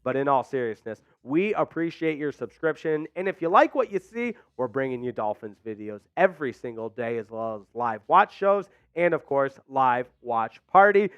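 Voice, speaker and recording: A man in his thirties hosting a live sports show on a headset mic, energetic and emphatic, slightly hoarse. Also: medium pitch, moderate pace, hardly any pauses, dry recording, muffled recording. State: losing his voice. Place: small studio, indoors.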